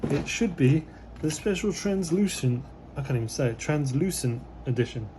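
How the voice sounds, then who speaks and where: A slightly hoarse man, losing his voice, giving relaxed, rambling commentary as he unboxes a model kit, with many fillers. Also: fairly high pitch, normal speed, a little hiss or hum.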